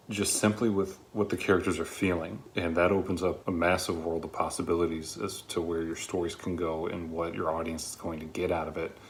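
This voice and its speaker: A man giving a spoken review of a script in an earnest, reflective way with measured phrasing. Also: low-pitched, a little hiss or hum.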